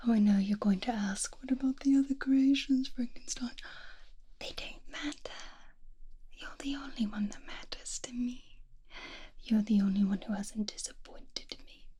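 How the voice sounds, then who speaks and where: A woman playing a doting, obsessive mad scientist who fusses over her creation in a cooing, breathy whisper, as an ASMR roleplay. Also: a little high, dark tone, heavily acted. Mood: adoring, possessive. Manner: cooing, unhurried, fussy endearments.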